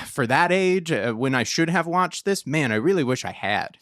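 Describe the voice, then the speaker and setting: A man's voice: fairly high pitch, lively intonation, normal speed, fairly bright tone, no room sound, clean studio sound. A young American man chatting casually as a podcast co-host, in a rambling way, often cutting himself off mid-thought. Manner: rambling, self-interrupting. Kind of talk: casual chat about a film.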